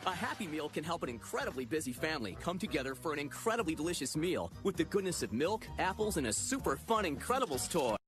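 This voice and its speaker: Quick upbeat guy doing a commercial, highish voice, loud music under him. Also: sing-song. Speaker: commercial announcer. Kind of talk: upbeat scripted advertisement voice-over.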